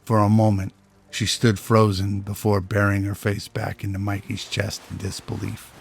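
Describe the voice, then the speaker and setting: A man's voice: on the low side, monotone, normal speed, creaky, slight background noise. A man reading scripted narration for a radio-style drama. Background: music.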